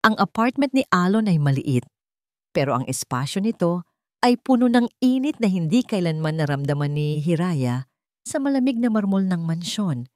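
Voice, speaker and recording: A woman's voice: fairly low pitch, darkish tone, no echo, clean studio sound. A woman with a Filipino accent, narrating a scripted love story in a dramatic storytelling style.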